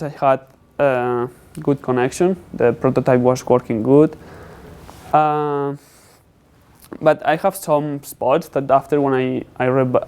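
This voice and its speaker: Clear-voiced man, halting technical presenter. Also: fairly high pitch, a little mellow. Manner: halting, non-native English phrasing. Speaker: student presenting a capstone project.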